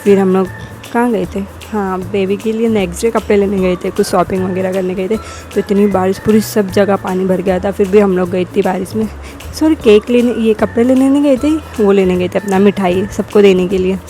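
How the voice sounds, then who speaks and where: A woman's voice: mid-range voice, steady tone, fairly quick, mellow, faint music behind. A young woman with a sore throat narrating her family vlog as a casual voice-over, rambling and correcting herself as she goes. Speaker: vlogger.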